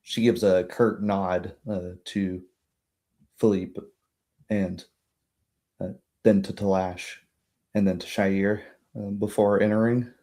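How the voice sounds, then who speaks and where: A man narrating an improvised tabletop game as game master, in a halting, hesitant way. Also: sits low, level tone, warm tone.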